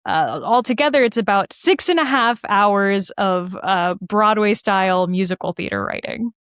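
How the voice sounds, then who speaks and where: A woman speaking as an interview guest in a casual, animated, informal way. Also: medium pitch, moderate pace, clean tone, no room sound, studio quality. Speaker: guest, musical theater writer and performer. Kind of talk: casual conversational interview answers.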